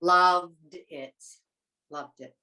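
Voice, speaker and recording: A woman's voice: fairly low pitch, steady tone, drawn-out words, pushed and bright. A woman in her seventies, born in the Netherlands, telling her story conversationally as an interview guest. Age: 70s. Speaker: interview guest, retired teacher.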